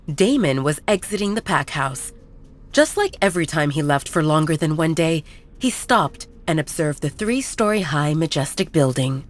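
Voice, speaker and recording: Low-voiced woman narrating a romance drama. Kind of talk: scripted audiobook narration.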